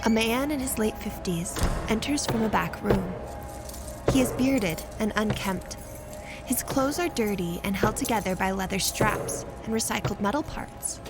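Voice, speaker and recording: A woman's voice: mid-range voice, unhurried. A woman reading scripted story narration for an audio drama as the narrator. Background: music.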